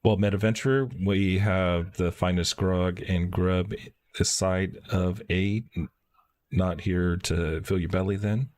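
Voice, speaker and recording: A man's voice: low-pitched, fairly even intonation, takes it slowly, darkish tone, no room sound. A man reading game dialogue aloud as a chatty, folksy fantasy tavern keeper with rustic, old-fashioned wording. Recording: clear background noise.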